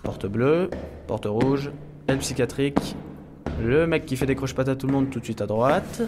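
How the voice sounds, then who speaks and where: A man's voice: medium pitch, normal speed, noisy background, close to an everyday voice. A man playing a sarcastic, nervous clone henchman, rambling colloquially and swearing in a comedy audio drama. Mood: grumbling. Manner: rambling, sardonic, foul-mouthed.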